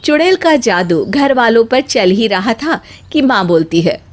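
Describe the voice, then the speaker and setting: A woman narrator reading a scripted story in an expressive storytelling style. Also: fairly high pitch, measured pace.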